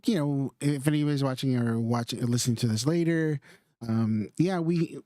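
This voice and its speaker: A man's voice: medium pitch, dark tone, no echo, studio quality. A man co-hosting a podcast in casual chat, rambling and restarting often. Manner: rambling, halting with many restarts. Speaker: podcast co-host.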